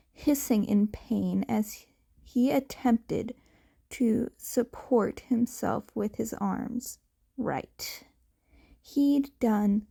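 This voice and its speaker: A woman's voice: on the high side, creaky, warm tone. A woman reading fiction aloud as an amateur audiobook narrator.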